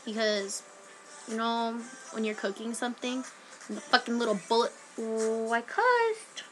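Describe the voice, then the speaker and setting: A woman's voice: fairly high pitch, lively intonation, unhurried, fairly bright tone, rough recording. A teenage girl rambling hyperactively in a casual home webcam vlog, sniffly from a cold and jumping between topics. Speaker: vlogger.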